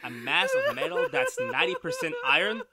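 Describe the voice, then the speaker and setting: Man playing an excitable, high-voiced, arrogant professor. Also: fairly quick, over a noisy crowd, heavily acted. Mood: eager, dismissive. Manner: theatrical, condescending. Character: excitable, arrogant male scientist, dismissive husband. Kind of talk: retro 1930s radio drama acting.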